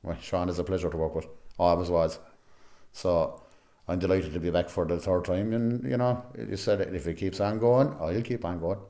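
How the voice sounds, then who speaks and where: A man with an Irish accent chats casually in an interview. His voice is low and mellow, and he talks in a loose, chatty way. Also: steady tone, normal speed. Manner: chatty, frequent "you know". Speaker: amateur actor, interviewee.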